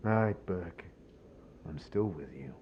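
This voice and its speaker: A man voicing a huge, battle-scarred, weary older father, speaking in a subdued, halting, brittle way. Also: low-pitched, animated, breathy edge, dull audio, pitched below the performer's own voice, full character voice. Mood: subdued, shaken.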